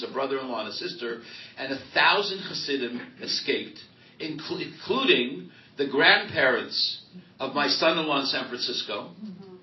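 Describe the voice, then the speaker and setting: A man's voice: mid-range voice, slight background noise. Emphatic man in a roomy hall. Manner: emphatic, loud, storytelling. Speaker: rabbi and author being interviewed. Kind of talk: live panel interview before an audience. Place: event hall with audience. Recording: roomy hall, distant mic, dull lo-fi sound.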